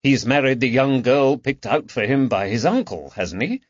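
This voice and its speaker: A man voicing a gentle, tactful woman who comforts a friend with soft words. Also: medium pitch, animated, moderate pace, no room sound, voice pushed up, natural voice. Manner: soft and soothing. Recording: slightly dull dry recording.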